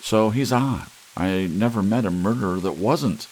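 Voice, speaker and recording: A man's voice: fairly low pitch, moderate pace, a little mellow, slight background noise, voice pushed up, natural voice, low-quality recording. A man reading as a brusque skeptical prosecutor. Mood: scoffing. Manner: brusque, dismissive.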